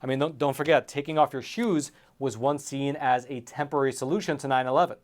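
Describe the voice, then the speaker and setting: Guy hosting a news commentary show, medium pitch, emphatic scripted read w little asides to viewers. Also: steady tone, fast.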